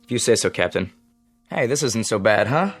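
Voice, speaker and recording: A man's voice: fairly low pitch, expressive, moderate pace. An actor playing an amiable, deferential junior spaceship crewman in an audio drama. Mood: friendly. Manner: polite, deferential. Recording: music bed under the voice.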